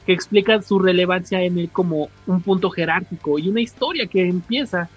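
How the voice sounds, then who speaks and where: High-voiced Mexican man chatting, music behind. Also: lo-fi sound. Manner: informal, slangy, halting with self-corrections. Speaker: podcast co-host. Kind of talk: casual chat explaining comics lore.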